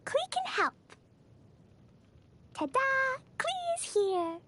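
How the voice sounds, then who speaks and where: A woman's voice: very high voice, very animated, slow pace, fairly bright tone, heavily acted. A woman voicing a cheerful, childlike little girl adventurer from a video game. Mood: cheerful, eager. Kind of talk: video game character voice lines.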